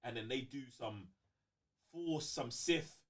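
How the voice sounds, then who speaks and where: A man's voice: medium pitch, slow pace. A man with a London accent in casual fan debate as a co-host, slangy and emphatic, repeating phrases for effect. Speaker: co-host of a fan talk show.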